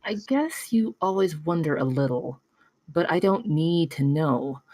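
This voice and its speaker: A woman reading a low-voiced, guarded young genius. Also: animated, unhurried, mellow, no echo. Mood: guarded, reflective. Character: Blue, guarded young tinkering genius, unsentimental. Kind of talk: table read of a screenplay.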